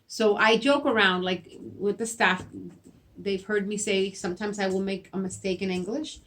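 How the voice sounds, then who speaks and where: A woman's voice: mid-range voice, steady tone, moderate pace, a bit bright, slight background noise. A middle-aged school principal, a woman whose first language is Spanish, speaking earnestly and at length. She circles back on her words and checks in with "right?" in a mid-range voice. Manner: earnest, repeats herself, frequent "right?" tags. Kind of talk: interview answers.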